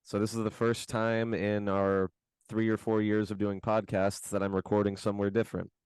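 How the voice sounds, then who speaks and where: A man co-hosting a podcast, his voice hoarse from screaming, chatting in a laid-back, slangy, rambling way from his bedroom at home. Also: on the low side, flat delivery, normal speed, darkish tone, no room sound, poor audio. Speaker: podcast co-host. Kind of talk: casual podcast chat.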